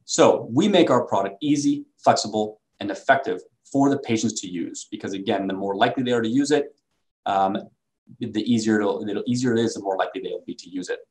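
A man's voice: on the low side, brisk, a little mellow, low-quality recording. A man presenting for a medical device company in a sales talk over slides, recorded on a video call indoors.

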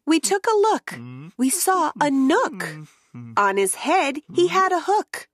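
A woman narrating a rhyming children's picture book in an expressive, deliberate storytelling way. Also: very high voice.

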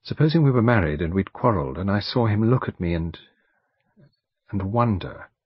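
A man's voice: lowish voice, animated, normal speed, slightly rough, dry recording. A man reading an audiobook, voicing an earnest, determined young woman who speaks insistently. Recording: dull lo-fi recording.

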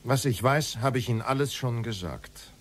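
Man playing a husky, evasive former official. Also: mid-range voice, moderate pace, lightly acted. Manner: defensive, evasive, formal. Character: evasive, defensive former Nazi state secretary under interrogation. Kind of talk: German documentary radio play interrogation.

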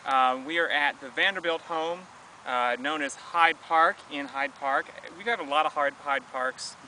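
A man's voice: a little high, moderate pace, sharp tone, slight background noise. A young man co-hosting a travel vlog, chatting casually and jokily outdoors on a lawn, recorded on a camera several meters away. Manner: jokey, chatty, trails off with ums. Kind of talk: casual on-location chat. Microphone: camera mic from a few meters away. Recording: distant outdoor camera audio.

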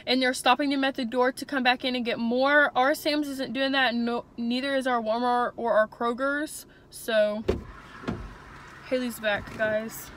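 Young woman, car vlogger, rambling chat, slightly high voice, faint road noise. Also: fairly even intonation, a little slow, a bit bright. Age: young adult. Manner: chatty, rambling, many "um" and "like". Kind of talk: casual vlog chat. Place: inside a parked and moving car. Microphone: phone camera on the dashboard.

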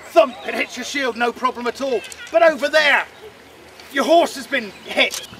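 A man presenting a longbow display at an outdoor medieval fair, shouting drill commands and teasing the crowd, recorded from a camera some way off. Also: very high-pitched, moderate pace, a little hiss or hum. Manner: loud commanding drill calls, teasing the audience. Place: open field at a medieval fair. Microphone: far camera, no mic.

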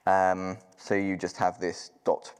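A man's voice: low-pitched, completely flat delivery, slow, clean tone. A man giving a live technical walkthrough at a conference, casual, with many ums and restarts. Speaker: conference speaker. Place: large conference hall. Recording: long hall reverb.

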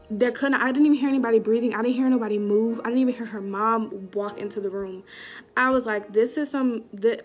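A young woman telling a story to camera in a casual vlog, animated and slangy, acting out what people said, recorded in a small room on a camera at arm's length. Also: mid-range voice. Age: young adult. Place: small indoor room before a curtain. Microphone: webcam or phone at arm's length.

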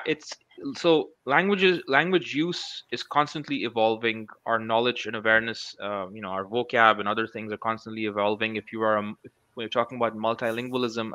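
A man's voice: mid-range voice, pushed and bright, smooth, no room sound, lo-fi sound. A man in his thirties, a guest researcher on a video call interview, speaking thoughtfully with many restarts and fillers. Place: small room at home. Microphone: headset.

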